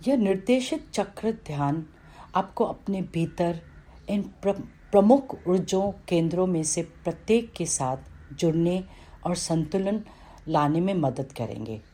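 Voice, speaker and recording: A woman reading a scripted guided meditation in a calm, instructional way, with pauses between phrases. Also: lowish voice.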